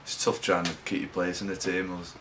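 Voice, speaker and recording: A young man from England, a rugby league player giving low-key, halting interview answers. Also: flat delivery, bright, forceful tone, a little hiss or hum.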